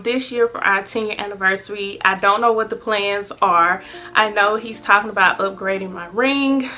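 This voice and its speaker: A woman's voice: mid-range voice, bright, forceful tone. A woman in her late twenties sharing personal advice in a chatty, earnest vlog, with many ums. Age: 20s. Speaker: vlogger.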